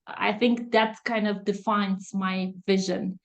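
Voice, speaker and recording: A woman from Romania talking as an interview guest, her voice sitting in a middle pitch. She speaks thoughtfully, pausing often with soft fillers as she searches for words. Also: steady tone, gravelly. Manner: thoughtful, hesitant with many fillers. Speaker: guest, strategic foresight consultant. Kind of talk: podcast interview, video call.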